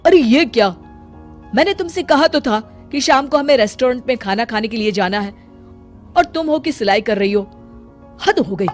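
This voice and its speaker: A woman's voice: fairly high pitch, expressive, moderate pace, soft background music, natural voice. A woman voicing an impatient, complaining young husband in a cartoon story. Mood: annoyed. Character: impatient young husband and son, office worker.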